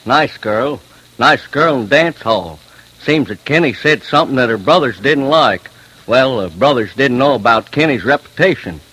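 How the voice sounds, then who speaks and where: A man's voice: mid-range voice, lively intonation, normal speed, bright, forceful tone, breathy edge, poor audio. A man telling a story in a colloquial, folksy way with clipped phrases.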